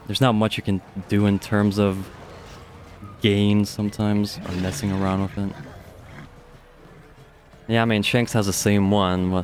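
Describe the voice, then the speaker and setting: A young man with a deep voice doing casual, chatty live commentary on a strategy game match, speaking into a studio microphone and swearing offhandedly. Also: a little mellow, slight background noise. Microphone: studio dynamic microphone.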